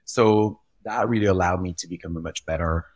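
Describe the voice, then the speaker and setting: Deep-voiced man. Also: fairly quick, dry recording. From Belgium. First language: French. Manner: animated, repeats words while gathering thoughts. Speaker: guest, retail visual expert. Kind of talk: conversational podcast interview.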